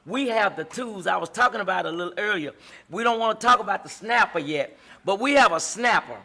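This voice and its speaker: A woman's voice: mid-range voice, expressive, brisk, bright, forceful tone. A middle-aged woman hosting a relationship advice talk, speaking emphatically in a repetitive, sermon-like cadence. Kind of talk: preaching-style advice talk.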